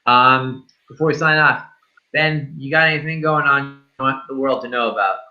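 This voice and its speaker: Bright, punchy man, slightly muffled. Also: medium pitch, normal speed. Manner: blunt, informal, sweary. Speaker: podcast host. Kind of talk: casual banter, movie review chat.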